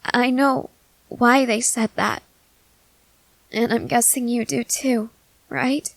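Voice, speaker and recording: A woman voicing a gentle, nervous, newly turned young female vampire who speaks haltingly in an ASMR roleplay. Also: on the high side, fairly even intonation, dry recording, pitched above the performer's own voice, rough recording. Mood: wistful. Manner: hesitant, halting, soft-hearted. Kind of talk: scripted ASMR audio roleplay.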